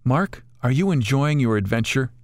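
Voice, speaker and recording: A man voicing a wise old female talking bird who counsels gently and calmly. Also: medium pitch, normal speed, dry recording, clean studio sound, voice pushed up, lightly acted. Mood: gentle.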